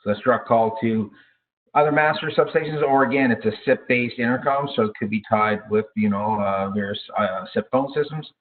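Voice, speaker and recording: A man giving informal product training in a halting way, with frequent hesitations and self-corrections. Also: mid-range voice. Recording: thin, phone-like narrow-band audio.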